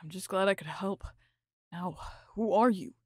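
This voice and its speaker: A woman playing a soft-spoken, loyal young companion in an audio drama. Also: medium pitch, moderate pace, breathy edge, no echo, clean studio sound, close to an everyday voice. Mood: concerned.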